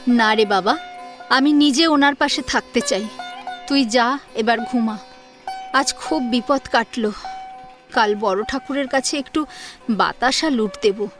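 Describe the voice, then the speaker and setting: A woman's voice: on the high side, unhurried, long pauses, natural voice. A woman playing an anxious, weary middle-aged mother in an audio drama, hesitant and plaintive. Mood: tired, subdued. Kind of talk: Bengali audio drama acting. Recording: music under the voice.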